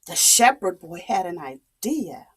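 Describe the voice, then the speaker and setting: A woman telling a story to young children in a dramatic, expressive, deliberate way. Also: lowish voice, slightly rough.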